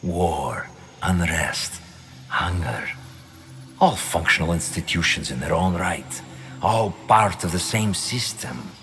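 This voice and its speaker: Very deep, coldly calculating mastermind. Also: male voice, lively intonation, a bit bright, slight background noise, exaggerated voice. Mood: calculating, menacing. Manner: measured, eloquent, menacing. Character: cold, calculating Templar mastermind, a grown man, coolly superior. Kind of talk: dramatic video game cutscene acting.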